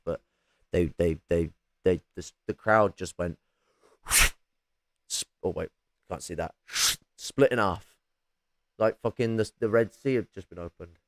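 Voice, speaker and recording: A raspy man, chatting casually on a livestream with lots of restarts and casual swearing. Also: low voice, dry recording. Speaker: streamer.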